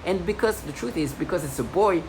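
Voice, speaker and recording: Woman in her thirties, deep voice, agitated and repetitive, lots of restarts, noisy recording. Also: animated, brisk. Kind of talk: rambling personal monologue.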